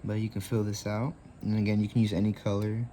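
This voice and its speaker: A man's voice: fairly low pitch, level tone, fast, mellow, a little hiss or hum. A man in his twenties hosting a casual craft tutorial, rambling easily with lots of fillers. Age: 20s.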